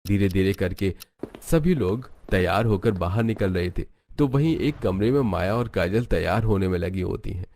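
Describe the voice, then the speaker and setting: A man's voice: low-pitched, lively intonation, normal speed, darkish tone, slight background noise. A man reading scripted narration for a cartoon story, as its narrator.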